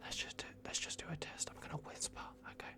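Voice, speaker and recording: A performer voicing a soft-spoken, bumbling vampire doctor in an ASMR roleplay, whispering hesitantly and repeating reassurances. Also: fast, close to an everyday voice. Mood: calm, instructing. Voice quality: whispered, creaky. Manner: hushed, hesitant, repetitive, reassuring.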